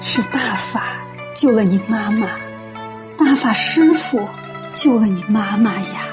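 A woman's voice: on the high side, animated, slow, over music. A woman acting in a radio play as a devout, forgiving and kindly believer. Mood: earnest. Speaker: radio drama actor.

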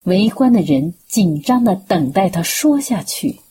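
A woman's voice: lowish voice, expressive, unhurried, mellow, slightly rough. A woman acting as the storyteller of a radio play, giving dramatic scripted narration.

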